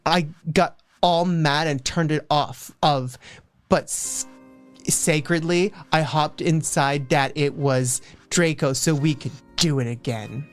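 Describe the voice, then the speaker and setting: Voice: medium pitch, slow pace. A streamer giving a comic, mock-serious dramatic reading, stumbling over words and dropping in casual asides. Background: faint background music.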